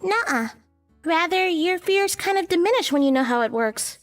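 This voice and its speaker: Earnest, very high-voiced young witch. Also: animated, normal speed, distant mic, faint music behind. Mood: thoughtful. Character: earnest young female witch, dutiful and matter-of-fact. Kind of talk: visual novel voice acting.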